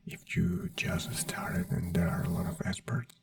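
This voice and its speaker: A non-native English speaker in a soft-spoken ASMR doctor roleplay, whispering breathily in a gentle, reassuring manner. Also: sits low, big pitch swings, unhurried, far from the mic. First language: not English. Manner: gentle, reassuring personal attention. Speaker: ASMR performer playing a brain doctor.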